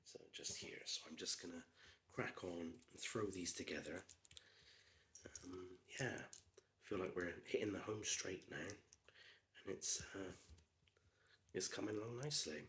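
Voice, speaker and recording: A man in his forties with a Gloucestershire accent, slightly hoarse, chatting quietly and slowly for ASMR with lots of ums and drifts into whispers. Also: low-pitched, slight background noise. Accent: Gloucestershire English. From Gloucestershire, England. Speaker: ASMR creator. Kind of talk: soft-spoken ASMR chat while building.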